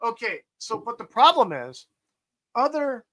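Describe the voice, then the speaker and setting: A man with a high, bright voice talks over current events on a casual podcast. He rants and curses with emphasis and keeps going at length. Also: sing-song, measured pace. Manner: profane, ranting, emphatic. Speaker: podcast host. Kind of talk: casual political chat.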